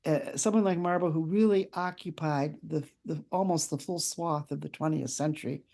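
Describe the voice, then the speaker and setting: An older woman, an author being interviewed, speaking in a thoughtful, anecdotal way with measured phrasing. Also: sits low, gravelly, mellow. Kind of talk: reflective conversational interview.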